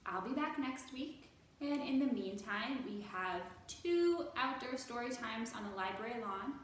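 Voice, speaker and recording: A woman hosting a children's storytime, reading and speaking to young listeners with slow, deliberate, expressive phrasing. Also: on the high side. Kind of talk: picture book read-aloud for young children. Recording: roomy echo, faint background noise.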